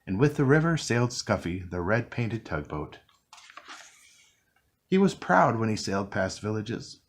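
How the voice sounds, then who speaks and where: A man narrates a classic children's story in a read-along style. His voice sits on the low side, yet it rises and falls a great deal as he reads gently to young listeners. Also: a little slow. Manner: gentle, expressive reading. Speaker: storybook reader.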